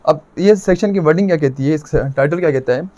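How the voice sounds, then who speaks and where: A man with a Pakistani accent and a fairly high-pitched voice gives an explanatory law lecture. He teaches step by step, restating each point and putting questions that he then answers himself. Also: quick pace, mellow, lo-fi sound. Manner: didactic, repeats points and asks himself questions.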